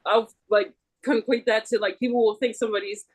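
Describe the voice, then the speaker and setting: A woman's voice: on the high side, steady tone, fairly quick. A woman chatting casually, with blunt, informal and scattered phrasing. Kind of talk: casual conversational chat.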